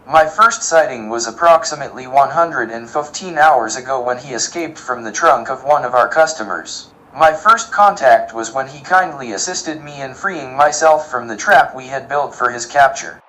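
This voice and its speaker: A man voices a polite male robot cook for an audio drama. The voice sits at a medium pitch, and every phrase is formal, exact and utterly literal. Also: normal speed, few pauses, pushed and bright, close to an everyday voice, poor audio. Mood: polite, precise.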